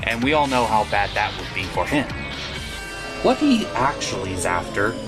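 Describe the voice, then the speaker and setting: Animated man reviewing, over music. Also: on the low side, normal speed. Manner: theatrical, crude, opinionated. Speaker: cartoon reviewer, show host. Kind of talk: scripted comedic review narration. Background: music bed.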